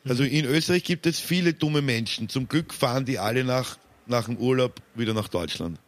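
A young Viennese man hosting a casual podcast, an animated teller of anecdotes and jokes. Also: mid-range voice, fairly quick. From Austria. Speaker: podcast host.

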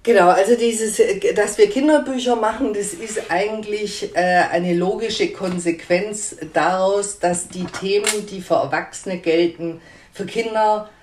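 A woman's voice: medium pitch, a little slow, darkish tone, reverberant, slight background noise. A woman publisher answering interview questions in a hesitant, conversational way with frequent fillers and restarts.

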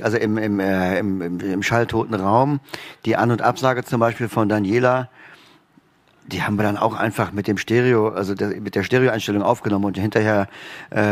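A man, a workshop participant, explaining the recording setup in a halting way with many fillers. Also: lowish voice, dry recording. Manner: halting, repeated fillers and restarts. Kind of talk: panel discussion answer.

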